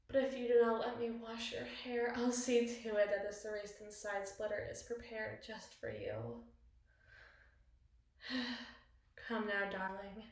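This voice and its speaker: Woman playing an echoey, high-voiced possessive evil queen. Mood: tender, menacing. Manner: ornate, eloquent, dramatic phrasing. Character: possessive, cunning evil queen, doting yet menacing spouse. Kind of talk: ASMR roleplay, theatrical monologue. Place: small bathroom, indoors. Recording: very echoey tiled bathroom.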